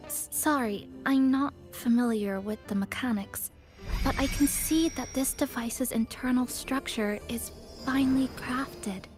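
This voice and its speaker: A woman voicing a shy, studious young student in a video game, hesitant, precise and soft-spoken. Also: high-pitched, measured pace, faint music behind. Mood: timid. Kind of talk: game voice acting.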